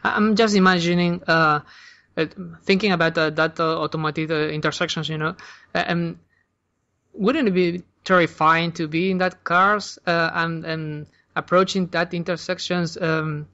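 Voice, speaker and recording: A man's voice: fairly high pitch, fairly even intonation, normal speed, pushed and bright, dull audio. A man hosting a podcast, asking questions hesitantly with many fillers and closing the show. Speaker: podcast host. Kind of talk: interview questions and show outro.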